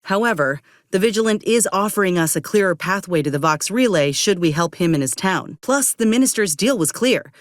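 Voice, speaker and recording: A woman's voice: lowish voice, expressive, brisk, few pauses, dry recording, clean studio sound, natural voice. An actress playing an earnest, determined young woman soldier with folksy rural turns of phrase in a video game. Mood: matter-of-fact. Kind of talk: video game character dialogue.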